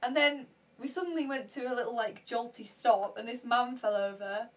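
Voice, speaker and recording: A young woman telling stories in a casual podcast chat, animated and laughing often. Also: on the high side, moderate pace. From Yorkshire, England. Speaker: podcast co-host.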